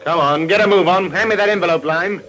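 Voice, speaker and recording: A menacing, jittery crook from an old radio play. His voice is pitched very high and urgent, snapping out curt threats. Faint music sits behind the recording. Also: fast talker, fairly bright tone. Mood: agitated. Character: tense, menacing male crook demanding money at gunpoint. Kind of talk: vintage radio drama acting.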